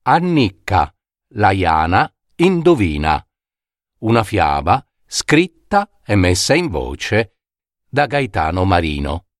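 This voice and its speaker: A man narrating a scripted fairy tale in a measured, dramatic way with deliberate pauses. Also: sits low, very animated, no room sound, clean studio sound. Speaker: storyteller.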